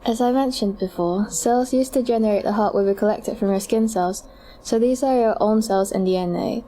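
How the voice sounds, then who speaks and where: A woman's voice: mid-range voice, moderate pace, warm tone, natural voice. A woman playing a polite clinic doctor who explains treatment options in a scripted amateur audio drama. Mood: polite, explanatory.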